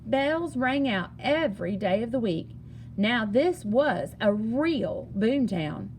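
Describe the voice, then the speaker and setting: A woman reading a children's picture book aloud in an expressive, singsong storytelling manner. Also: fairly high pitch, slow pace, a little hiss or hum. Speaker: story reader.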